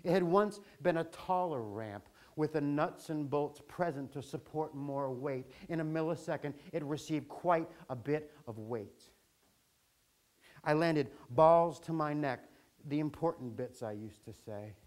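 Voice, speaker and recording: A man performing an autobiographical spoken-word story with theatrical, deliberate phrasing and wry asides. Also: fairly high pitch, darkish tone. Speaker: storyteller performing his own account.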